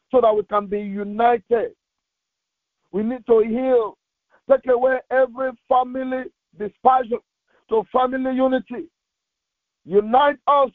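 A man's voice: extremely high pitch, no room sound. A man preaching and leading fervent prayer as a pastor, insistent and repetitive, delivering it phrase by phrase. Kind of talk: fervent Pentecostal prayer sermon with exhortation. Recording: thin, phone-like radio sound.

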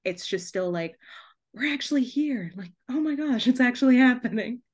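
A woman's voice: on the high side, animated, moderate pace. Giggly woman chatting casually. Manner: giggly, laughs often while talking. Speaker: guest author and TV trailer writer. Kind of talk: casual podcast interview.

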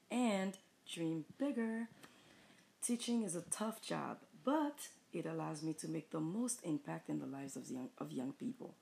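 A woman with a Haitian accent, a mother and teacher, giving earnest, measured answers in a family interview. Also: on the low side, vocal fry, a little mellow, slight background noise. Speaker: mother, high school teacher. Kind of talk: reading prepared interview answers.

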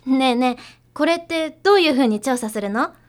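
A woman's voice: sits high, lively intonation, fairly quick. A woman playing a perky, pushy young idol in a radio drama, girlish, breezy and familiar. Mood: excited, wheedling.

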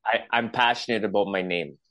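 Low-voiced relaxed man. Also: brisk, dry recording. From Canada. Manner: relaxed, easygoing, many fillers like "you know". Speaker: podcast guest, boxing coach. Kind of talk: casual interview chat.

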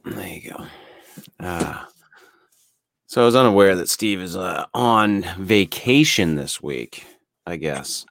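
A man's voice: sits low, animated, slow pace, gravelly, long pauses, a bit bright. A man co-hosting a podcast in loose, laid-back chat, swearing freely and filling gaps with "uh". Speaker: podcast co-host.

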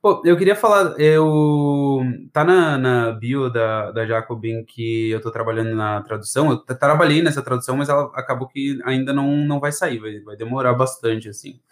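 A man's voice: medium pitch, steady tone, normal speed, hardly any pauses. A man from southern Brazil with a mild Brazilian southern accent, chatting casually as a podcast guest in a rambling, slangy way. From Florianópolis, southern Brazil. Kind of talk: casual chat.

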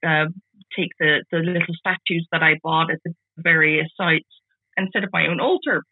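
A woman in her forties from the Netherlands, with a Dutch accent, talking casually as an interview guest, halting and often repeating words as she restarts. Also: sits low, husky, dry recording. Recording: tinny phone-like call audio.

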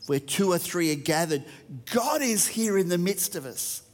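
A man's voice: high voice, animated, normal speed, big-room sound. An Australian man preaching a sermon, reading verses and talking conversationally to a church. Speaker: pastor.

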